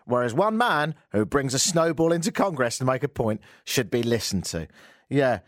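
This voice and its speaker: Lively sarcastic man ranting. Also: medium pitch, moderate pace, no echo, studio quality. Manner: mocking, exasperated, lively. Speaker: radio show host. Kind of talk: sarcastic comic rant.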